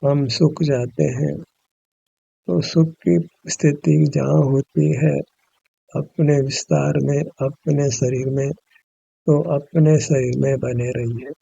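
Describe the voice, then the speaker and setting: Very dark, gravelly man guiding meditation. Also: mid-range voice, fairly even intonation, dry recording, dull audio. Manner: measured, instructive. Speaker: meditation teacher. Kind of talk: guided meditation, spiritual instruction.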